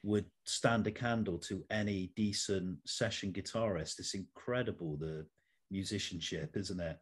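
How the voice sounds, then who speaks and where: A man's voice: deep voice, measured pace, breathy edge. A man hosting an interview in a conversational style, putting questions to a guest. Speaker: interview host.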